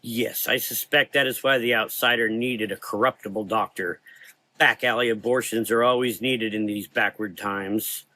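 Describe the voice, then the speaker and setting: A man playing a weary, learned and brooding occult hunter, speaking in a measured, formal, ominous way in a gothic horror audio drama. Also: on the low side, fairly even intonation, pushed and bright, dry recording.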